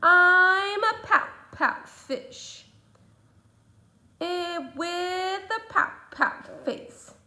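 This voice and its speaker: A woman voicing a glum, sulky male fish in a children's storybook read-aloud, drawing out a slow sing-song refrain. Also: very high voice, pushed and bright, pitched above the performer's own voice, exaggerated voice.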